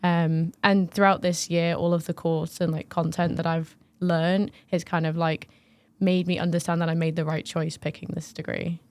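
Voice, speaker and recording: A woman's voice: on the low side, flat delivery, normal speed, darkish tone, no room sound. A young woman from northern England, a first-year student talking earnestly with many hedging fillers. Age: young adult. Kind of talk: casual chat.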